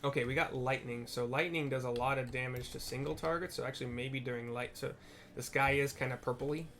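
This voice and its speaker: A man's voice: medium pitch, steady tone, fairly quick, fairly bright tone, soft background music. Man casually talking through video game play. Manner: rambling, thinking aloud as he explains. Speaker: gameplay commentator. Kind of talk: casual unscripted game commentary.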